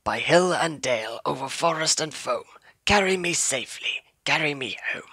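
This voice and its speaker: A man as a husky storybook villain, chanting. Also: on the high side, lively intonation, normal speed, bright, forceful tone, dry recording, heavily acted. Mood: incanting. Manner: incanting, rhythmic. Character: wicked male thief chanting a magic spell, storybook villain.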